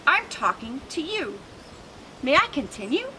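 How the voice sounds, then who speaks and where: A woman voicing a grumpy, fussy male lizard who scolds in a haughty, drawn-out way. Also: high voice, sing-song, sharp tone, slight background noise, voice pushed up, exaggerated voice, poor audio. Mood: scolding.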